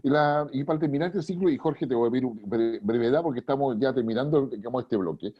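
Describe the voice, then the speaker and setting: A man hosting a panel show, moderating briskly and handing questions to guests. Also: medium pitch, breathy edge, no echo, highs cut off. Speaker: host, moderator.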